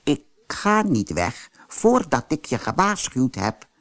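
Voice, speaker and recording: Man voicing a high-pitched, preachy old talking cricket. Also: very animated, takes it slowly, fairly bright tone, heavily acted. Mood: solemn warning. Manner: solemn, scolding, foreboding. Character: stern old talking cricket, over a hundred, warning.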